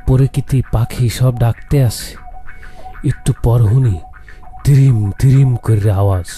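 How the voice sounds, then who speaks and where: A man playing an indignant, lovelorn young student in a comic radio drama, with a Barisal accent. He is theatrical, sliding between slow lament and shrill outrage, and music plays clearly behind him. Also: dark tone, natural voice. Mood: mournful lament. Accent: Barisal, Bangladesh. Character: melodramatic jilted young hostel student, heartbroken and indignant.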